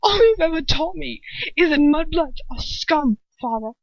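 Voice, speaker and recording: A woman's voice: expressive, normal speed, vocal fry, no room sound. A woman voicing an indignant, wounded teenage wizard son who bursts out accusingly in a story reading. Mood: hurt outrage. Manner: high, accusing outburst. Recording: dull lo-fi home recording.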